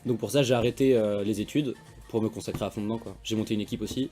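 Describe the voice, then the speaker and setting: A man's voice: lowish voice, steady tone, fast talker, mellow, faint music behind. A young man from France, a magician guest, chatting casually in slangy, rambling talk. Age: young adult. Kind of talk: casual interview chat.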